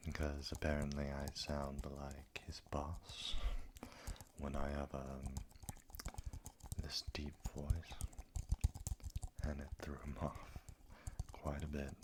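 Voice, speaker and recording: A young adult whispers slowly and softly in an extremely deep, raspy voice, rough from a sore throat, close to an ASMR microphone. The pitch barely moves and the words drift along at an unhurried pace. A steady hiss sits under the whispering. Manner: soft, slow, breathy whispering. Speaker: ASMR creator. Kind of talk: slow whispered ASMR chat.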